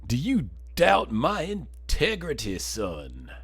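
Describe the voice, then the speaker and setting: A grand, smug old baron from a courtroom video game. He draws out his words slowly and theatrically, with a pitch that swoops widely over a music bed. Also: huge pitch swings, a bit bright, slightly rough, exaggerated voice. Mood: challenging, pompous. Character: pompous aristocratic older baron witness, smug and grand.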